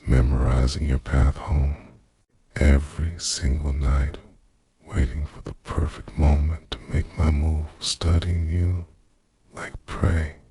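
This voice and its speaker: A man playing an obsessive, remorseful vampire boyfriend in an intimate ASMR roleplay, half-whispering a slow confession in a gravelly voice. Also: rumbling low, mellow, no room sound, full character voice. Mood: dark confession.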